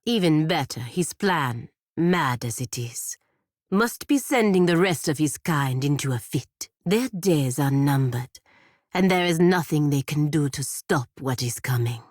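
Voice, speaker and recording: A woman's voice: low voice, expressive, unhurried, a little mellow, no echo, studio quality. A woman playing a proud, stern godlike warrior in a video game, speaking formally and bluntly with haughty disdain. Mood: proud conviction. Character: proud, stern godlike female warrior serving her republic. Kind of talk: scripted video game character dialogue.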